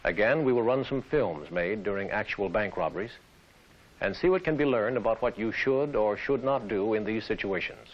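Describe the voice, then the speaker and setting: Low-pitched American man presenting formally. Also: expressive, poor audio. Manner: formal, deliberate. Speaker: presenter of an instructional training film. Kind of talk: scripted instructional narration.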